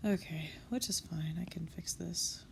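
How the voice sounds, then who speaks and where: A woman's voice: lowish voice, unhurried, gravelly, a little hiss or hum. A young woman artist chatting casually while she draws, self-critical and swearing under her breath, sniffling from a cold. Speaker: comic artist. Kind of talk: casual narration over a drawing session.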